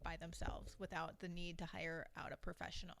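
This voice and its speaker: A woman co-hosting a podcast, chatty and quick to laugh, speaking while she has a cold. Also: lowish voice, little pitch movement, vocal fry, a bit bright, no echo. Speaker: podcast co-host. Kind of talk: casual chat.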